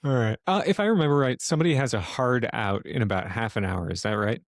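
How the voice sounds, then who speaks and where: A man's voice: medium pitch, fast, no echo, studio quality. A man moderating a tabletop game as game master, informal and teasing, with some swearing.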